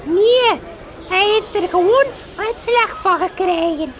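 An actress with a Flemish accent playing a gossipy, plaintive village housewife in a comic radio drama. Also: female voice, very high voice, slow. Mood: plaintive gossip. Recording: very noisy, busy outdoor scene.

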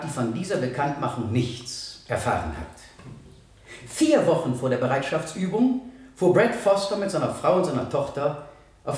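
An actor in a radio play voicing a stern, impassioned male prosecutor who addresses a jury in formal, emphatic oratory with deliberate pauses. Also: on the high side, a little mellow. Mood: grave, narrating facts. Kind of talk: 1970 German radio play, courtroom oratory. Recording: echoey courtroom sound, distant from mic.

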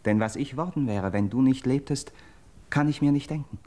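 An actor playing a steadfast, hopeful young friend, speaking classical verse drama in an earnest, elevated manner. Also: male voice, mid-range voice, mellow, natural voice. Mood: consoling. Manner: earnest, elevated, measured declamation. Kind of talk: classical German verse drama, stage declamation.

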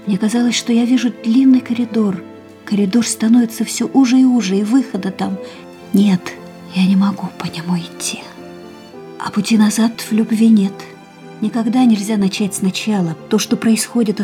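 An actress playing a young woman in a radio play, speaking an inner monologue in a soft, often whispered voice. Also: mid-range voice, natural voice. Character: young woman, fragile, resolved, inward. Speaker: heroine voicing inner monologue.

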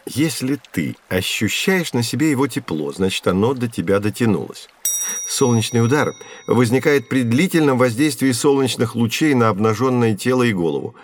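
Casting: male actor as composed adult mentor, medium pitch, didactic and knowledgeable, educational drama for children. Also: lively intonation, normal speed, lightly acted. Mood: calm, explaining. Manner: didactic, instructive. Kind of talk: scripted educational audio drama.

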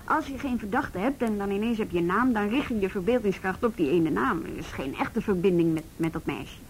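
A reluctant junior policewoman in a radio play, acted by a woman, sceptical and plain-spoken in a medium-pitched voice. Her accent is Netherlands Dutch, and the recording has faint noise and rumble. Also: expressive, brisk, lightly acted, low-quality recording. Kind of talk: naturalistic radio drama dialogue.

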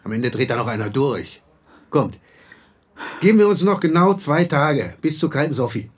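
An actor in a German radio crime drama playing a dogged police inspector, gruff, colloquial and terse in manner. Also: male voice, mid-range voice, big pitch swings, moderate pace. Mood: terse, insistent. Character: dogged, stubborn male police inspector leading a team.

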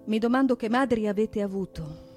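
A woman giving a solemn, theatrical recitation of poetry and prose in a deliberate, dramatic manner. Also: medium pitch, expressive, darkish tone. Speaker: stage performer, actress and singer. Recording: piano music under the voice. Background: piano accompaniment.

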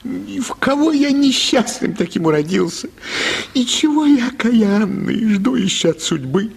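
An actor playing an anxious, exasperated, self-pitying theatre manager in a radio play, excitable and lamenting. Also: male voice, very high-pitched, unhurried, creaky, slightly rough, exaggerated voice. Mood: despairing. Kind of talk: Russian radio play acting.

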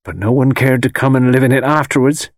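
Un hombre haciendo de vieja adivina agorera, voz aguda. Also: male voice, mid-range voice, fairly quick, no room sound, clean studio sound, pitched above the performer's own voice, lightly acted. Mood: grim, secretive. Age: older. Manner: rustic dialect speech, ominous and insistent. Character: malicious, wheedling old fortune-telling woman with dark warnings. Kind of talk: dramatised audiobook character voice.

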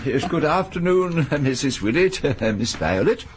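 A dithering old gentleman in a vintage radio play, hesitant and chuckling, his pitch rising and falling widely. Faint hiss lies under the archive recording. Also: sing-song, unhurried. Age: older. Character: hesitant elderly gossiping gentleman.

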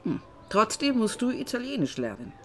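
A woman's voice: fairly high pitch, wildly swooping pitch, normal speed, a bit bright, a little hiss or hum. An actress playing an older widow, a stern but loving adoptive mother, dry and firm in a naturalistic radio drama. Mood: firm, tender.

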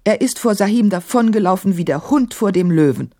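A proud young caliph out of a storybook, voiced by a woman, speaks with imperious emphasis. His pitch is lifted well above plain narration, and his scornful manner is that of someone used to commanding. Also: animated, brisk, dark tone, no echo, studio quality. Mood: haughty, stern.

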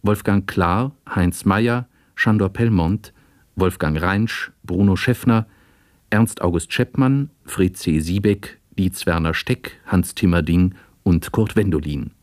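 A man reading scripted broadcast credits as an announcer, formal and measured. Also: low voice, dry recording, clean studio sound.

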